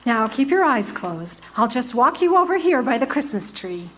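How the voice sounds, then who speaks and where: A woman acting in a live community radio play, voicing a tender, excited wife. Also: on the high side, moderate pace. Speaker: actor playing Mary.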